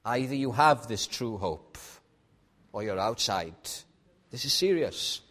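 A man with a Welsh accent, a native Welsh speaker, preaching a sermon in a measured way and putting rhetorical questions to his congregation. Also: medium pitch, animated, fairly bright tone. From Wales.

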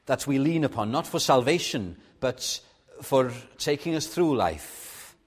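A man with a Welsh accent, a native Welsh speaker, preaching a sermon in a measured way and putting rhetorical questions to his congregation. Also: medium pitch, expressive, slightly rough. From Wales.

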